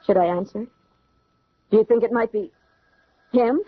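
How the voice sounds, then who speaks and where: A woman's voice: medium pitch, normal speed, no room sound, natural voice. A woman playing a forthright young girlfriend in a radio drama, direct and challenging in her delivery. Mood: uneasy. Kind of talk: 1970s radio drama acting. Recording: muffled old radio drama recording.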